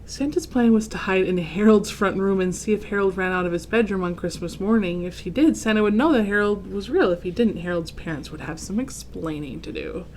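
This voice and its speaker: A woman reading a children's picture book aloud in an expressive way, pausing to explain and react to the pictures. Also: mid-range voice, a little hiss or hum.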